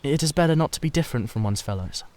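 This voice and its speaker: A man voicing a sensitive, secretive young painter in a dramatised audiobook, earnest and halting as he confides. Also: on the high side, expressive, a little mellow, voice pushed up, lightly acted. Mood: resigned, brooding.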